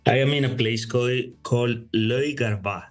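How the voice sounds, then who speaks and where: A man's voice: medium pitch, normal speed, soft background music. A man from Colombia with a Colombian Spanish accent, a guest youth worker talking reflectively in a casual interview over a remote call. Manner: reflective, thoughtful, says "you know" often.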